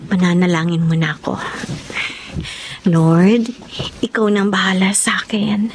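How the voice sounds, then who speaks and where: A woman's voice: mid-range voice, little pitch movement, unhurried, slight background noise, natural voice. An actress playing a hardworking, prayerful young woman, earnest and polite to her elders, in a radio drama. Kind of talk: Tagalog radio drama acting.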